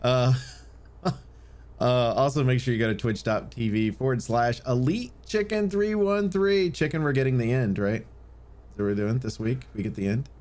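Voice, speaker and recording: A man's voice: medium pitch, normal speed, a little mellow. A man co-hosting a podcast in casual, jokey banter, often sarcastically acting out imagined dialogue. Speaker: podcast co-host.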